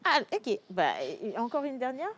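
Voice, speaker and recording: A woman's voice: a little high, big pitch swings, fairly bright tone. Chatty woman talk show host. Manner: chatty, jokey, quick asides. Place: TV studio.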